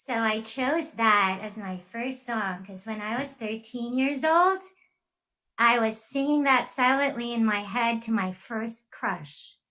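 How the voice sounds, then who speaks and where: A woman's voice: a little high, slow pace, sharp tone, poor audio. A woman from the Philippines, a singer talking casually and in a rambling way between songs at a virtual concert. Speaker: singer, performer.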